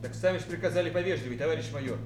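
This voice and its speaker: An actor playing a talkative, eager and cheeky young army sergeant in a radio play. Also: male voice, a little high, racing, roomy sound, music in the background, close to an everyday voice. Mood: cheeky, defensive. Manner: talkative, reporting loudly and eagerly.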